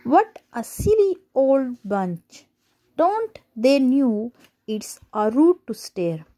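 A woman storyteller reads a children's book aloud. Her voice sits fairly high and swings widely in pitch. She takes it slowly and deliberately, halting now and then. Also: sing-song, dark tone.

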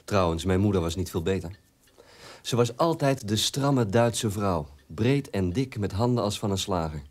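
An actor playing a wounded, unloved grown son in a radio drama, telling it plainly and deliberately. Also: male voice, on the low side, animated. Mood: bitter, wounded.

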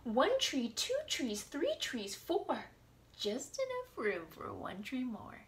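A woman with a lively, fairly high voice presents a picture-book storytime for young children. She speaks deliberately and expressively, recorded in a room at home with some faint background noise. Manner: animated, deliberate, talking to small children. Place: small indoor room at home.

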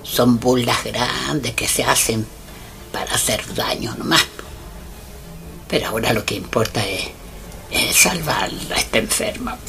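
An old village healer woman from a radio play, with a low, creaky voice. Her Chilean speech is slow, rustic and halting, solemn and insistent as an incantation, with music behind her. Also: heavily acted. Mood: grave instructing. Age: older. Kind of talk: radio drama acting.

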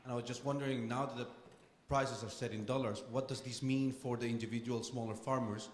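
A man's voice: medium pitch, steady tone, fairly quick, a little hiss or hum. A man in the audience asking a question, wandering and hesitant. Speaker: audience member. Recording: echoey hall from off-mic.

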